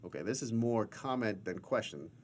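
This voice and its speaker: A man's voice: fairly low pitch, animated, moderate pace, slight background noise. A man hosting a casual interview, reading out viewer comments, tripping over names and chuckling often.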